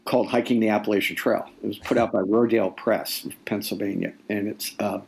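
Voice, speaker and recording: A man speaking as an author guest in a conversational interview, explaining deliberately and repeating phrases for weight. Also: on the low side, steady tone, vocal fry, a little mellow, poor audio. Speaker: nonfiction author, podcast guest.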